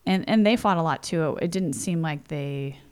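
A woman's voice: low voice, normal speed, clear, resonant tone. A woman in her forties from California, telling personal stories in a chatty interview full of "you know" and "like". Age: 40s. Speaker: interview guest. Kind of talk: casual storytelling. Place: home.

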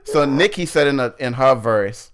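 A man's voice: medium pitch, normal speed, clean tone. A man from Barbados co-hosting a podcast, chatting casually and getting flustered when cut off. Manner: rambling, easily flustered, quotes lyrics. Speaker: podcast co-host. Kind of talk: casual chat.

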